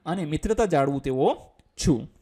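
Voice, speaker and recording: A male teacher with a Gujarati accent speaks in a slightly high, softly mellow voice. He reads out English lines and then explains them simply. His delivery is colloquial and step by step, with questions he answers himself. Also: animated, moderate pace.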